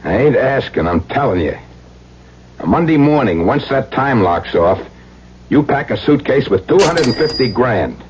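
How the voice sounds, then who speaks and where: An actor playing a cold, taunting criminal on the run, talking tough, domineering slang in an old-time radio drama. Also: male voice, medium pitch, very animated, moderate pace, slightly rough. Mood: menacing. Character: menacing armed fugitive criminal, cold and taunting. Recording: muffled radio recording with hum and noise.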